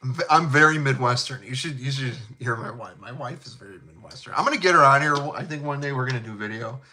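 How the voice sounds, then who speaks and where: A middle-aged man with a heavy Chicago South Side accent and a bright, forward tone. He rambles in a laid-back, chummy way, dropping fillers and casual slang as he talks about colognes with his viewers. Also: mid-range voice, quick pace. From Chicago, USA. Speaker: fragrance channel host. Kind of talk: casual live chat.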